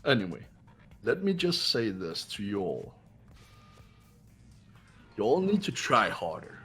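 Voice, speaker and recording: A man's voice: lowish voice, huge pitch swings, unhurried, a bit bright, breathy edge, faint music behind, exaggerated voice. A man playing an arrogant, cold young heir in a fan-voiced game, speaking in a formal, condescending way. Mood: haughty, cold. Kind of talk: amateur voiced video game playthrough.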